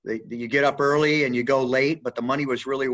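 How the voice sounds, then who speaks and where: A man's voice: medium pitch, steady tone, fast talker, fairly bright tone, dull audio. A man in his forties from the US Midwest, speaking as an interview guest in a loose, rambling way with many fillers and restarts. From Midwest US (Indiana/Michigan). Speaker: guest, retired Air Force pararescueman. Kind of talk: casual interview talk.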